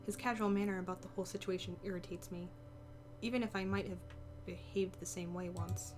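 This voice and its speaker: Girl gamer doing a wary brooding heroine, hesitant inner monologue, music clearly behind her. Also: fairly low pitch, fairly even intonation, a little fast, close to an everyday voice. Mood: irritated, reasoning. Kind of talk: visual novel read-aloud with inner monologue.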